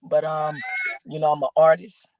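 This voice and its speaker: A woman from Georgia with a raspy voice, a guest rapper rambling casually with lots of fillers. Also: sits low, completely flat delivery, normal speed, background noise. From Augusta, Georgia, raised in Atlanta. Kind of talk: casual interview chat.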